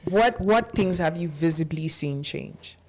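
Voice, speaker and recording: Animated Kenyan woman, lowish voice. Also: measured pace. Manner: animated, teasing and incredulous. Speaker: podcast host. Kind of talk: casual podcast conversation.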